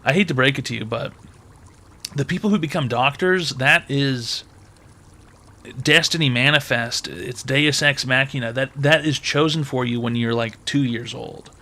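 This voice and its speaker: A man's voice: mid-range voice, moderate pace, sharp tone. A man hosting a call-in advice show, brash and mocking with crude, profane jokes.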